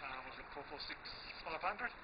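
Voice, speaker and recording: A man's voice: fairly high pitch, lively intonation, a little fast. An Australian man giving a casual, unscripted walkthrough of equipment outdoors in a park, with a hesitant, colloquial, laid-back delivery over muffled, noisy transmission audio. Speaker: amateur television operator presenting from a portable station.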